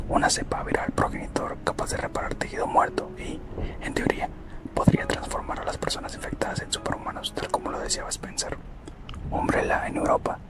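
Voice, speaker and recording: A man whispering a scripted ASMR roleplay narration in a hushed, explanatory manner. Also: a little high. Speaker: ASMR roleplay host, playing a corporate recruiter. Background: music.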